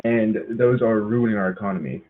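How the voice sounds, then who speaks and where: A low-voiced young man in his twenties calls in and gives his opinion plainly and matter-of-factly. His voice comes through a thin, phone-like line. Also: a little fast. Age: 20s. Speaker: caller. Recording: tinny phone-like call audio.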